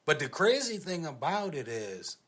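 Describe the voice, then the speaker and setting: A man's voice: a little high, very animated, normal speed, fairly bright tone, husky. A man hosting a casual podcast chat, slangy and repeating phrases for emphasis. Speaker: podcast host.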